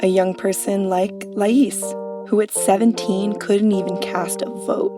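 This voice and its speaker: A woman's voice: medium pitch, flat delivery, measured pace, over music. A woman narrating a documentary podcast from a script in a conversational, punchy way.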